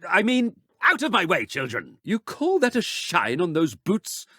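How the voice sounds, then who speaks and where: A haughty school authority from a video game, forever scolding. The voice sits high and swoops wildly with theatrical disdain, recorded dry. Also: male voice, wildly swooping pitch, unhurried, sharp tone, slightly rough, no echo, exaggerated voice. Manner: haughty, barking reprimands. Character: pompous, scolding Ministry-appointed school authority, easily exasperated.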